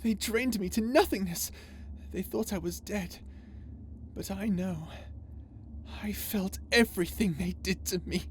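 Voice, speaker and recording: A woman playing an unhinged, vengeful, lovesick and tormented space villain in an ASMR roleplay drama, speaking in a theatrical, halting way. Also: mid-range voice, slight background noise, close to an everyday voice. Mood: haunted menace. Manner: theatrical, halting, swinging between tender menace and anguish.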